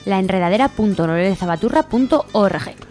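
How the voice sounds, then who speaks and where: A woman's voice: medium pitch, lively intonation, brisk, darkish tone. A woman with a Spanish accent from Spain, co-hosting community radio and reading out announcements. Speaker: radio co-host. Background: music bed.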